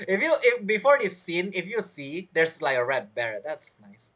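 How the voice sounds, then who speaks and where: A woman's voice: lowish voice, big pitch swings, moderate pace, a bit bright. A woman streamer giving casual game commentary, chatty and reacting aloud in short fragments. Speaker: gaming streamer.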